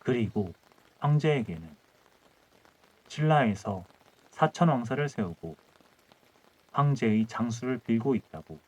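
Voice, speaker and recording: A man's voice: medium pitch, animated, unhurried, long pauses, a little mellow, poor audio. A man narrating a scripted historical folktale as an audiobook storyteller.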